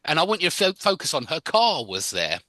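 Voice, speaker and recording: Upbeat male tutor with an animated, bright voice, drilling grammar over a video call. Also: fairly high pitch, a little fast, no room sound. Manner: corrects and explains, laughs easily, repeats model sentences. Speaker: English teacher. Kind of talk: live online English lesson.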